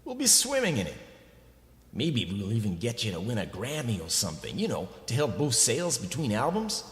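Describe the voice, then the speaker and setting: A man's voice: mid-range voice, huge pitch swings. A man playing a pushy, greedy record company executive in a comic radio play, with slick salesman patter. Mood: pushy, excited. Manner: fast-talking salesman patter with wheedling asides. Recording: echoey, long reverberant room sound.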